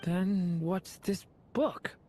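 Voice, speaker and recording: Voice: medium pitch, drawn-out words, dark tone, soft background music. An actor playing an innocent, unsure young dragon boy in a video game, speaking earnestly and politely. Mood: curious, wistful.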